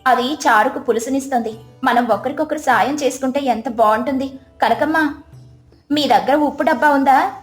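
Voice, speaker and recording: A woman voicing a calm, sensible village daughter-in-law in a moral story, speaking in a placid, reasonable way. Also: high voice, moderate pace, reverberant, faint music behind, close to an everyday voice. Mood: calm, friendly. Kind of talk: moral story character acting.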